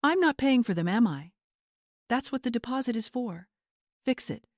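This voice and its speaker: A woman's voice: fairly high pitch, expressive, normal speed, darkish tone, no room sound, clean studio sound, voice pushed up, lightly acted. Woman acting a spoiled, sneering young bride. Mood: demanding, outraged. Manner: snapping, scornful, entitled.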